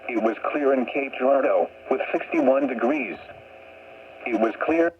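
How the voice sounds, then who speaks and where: A man's voice: medium pitch, huge pitch swings, a little slow, creaky. A man reading a scripted weather forecast as an announcer over the radio. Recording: tinny, noisy weather radio broadcast.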